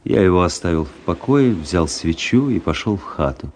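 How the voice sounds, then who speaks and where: An actor playing a wry, melancholy army officer who narrates his story in a measured, reflective radio-play style. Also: sits low, animated, noisy background. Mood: rueful, wry. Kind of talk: dramatic literary radio narration.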